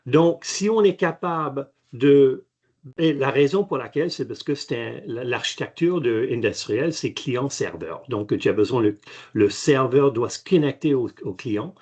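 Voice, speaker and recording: Husky halting man explaining tech. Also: medium pitch, a little mellow. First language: French. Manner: halting, frequent restarts and fillers. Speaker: company chairman, guest expert. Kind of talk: hesitant technical webinar answers. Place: video call.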